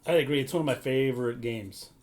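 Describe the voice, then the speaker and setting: A man's voice: mid-range voice, fast talker. Hesitant man in casual, slangy chat. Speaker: podcast panelist.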